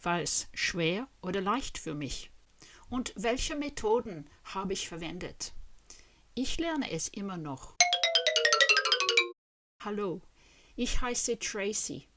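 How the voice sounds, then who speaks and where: A woman in her fifties from Western Australia, with an Australian accent, talks to camera in a language she learned later in life. She speaks slowly and deliberately, in an earnest, friendly way, and keeps asking her viewers questions. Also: medium pitch, a bit bright. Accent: Australian English. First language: English. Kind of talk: slow, careful talk to camera in a learned language.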